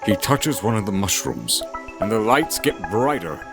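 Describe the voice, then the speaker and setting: A man's voice: lowish voice, big pitch swings, measured pace, music in the background. A man narrating a scripted fantasy audio drama in a theatrical, descriptive storytelling manner.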